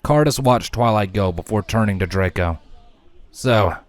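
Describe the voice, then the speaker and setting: Low-pitched man narrating a story. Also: lively intonation, fairly quick, no room sound. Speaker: fan fiction narrator.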